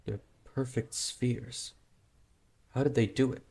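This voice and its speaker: An actor playing a calm, independent young tribesman, a stubborn younger brother, in a dramatic manga dub with an earnest, measured manner. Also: mid-range voice. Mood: intrigued, calm.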